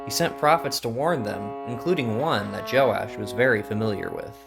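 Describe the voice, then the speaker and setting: A man narrates a scripted Bible story in a middling-pitched voice. Plucked guitar music plays clearly behind him. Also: lively intonation, moderate pace.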